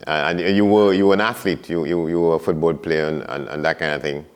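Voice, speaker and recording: A man's voice: low-pitched, fairly even intonation, fast, smooth. A man hosting an interview, asking long questions with many stammered repetitions. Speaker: interview host.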